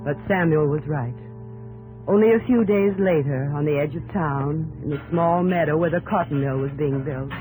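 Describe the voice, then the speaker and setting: An actress playing a gentle young New England woman who tells her story earnestly and with measured delivery in a 1950s radio drama. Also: deep voice, faint music behind, natural voice, low-quality recording. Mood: earnest, wistful. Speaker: narrator and actress.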